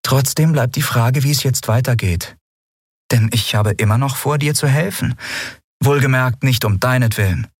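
A man's voice: mid-range voice, moderate pace, husky, no echo, clean studio sound, voice pushed up. A man voicing a proud woman who helps grudgingly, in a fantasy audiobook, with a cool and pointed manner. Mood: cool, determined.

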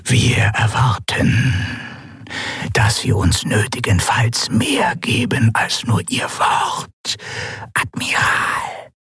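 A man voicing an eerie, otherworldly masked governor who speaks in a slow, strange whisper. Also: on the low side, wildly swooping pitch, a bit bright, slightly rough, dry recording, clean studio sound, exaggerated voice. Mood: cold. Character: eerie alien governor behind a mask.

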